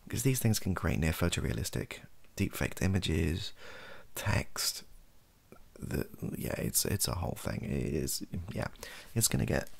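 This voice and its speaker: A British man in his thirties rambling softly and hesitantly in an improvised, self-deprecating ASMR style, with a gravelly, husky voice. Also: a bass voice, expressive, measured pace. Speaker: video host, ASMR creator. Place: small bedroom office, indoors.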